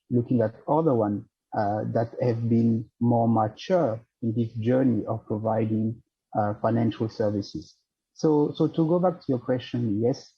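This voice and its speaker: A man with a French-accented English voice, answering a panel question in a measured, formal way while searching for words. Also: medium pitch, slightly rough, highs cut off. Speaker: financial centre representative on a panel.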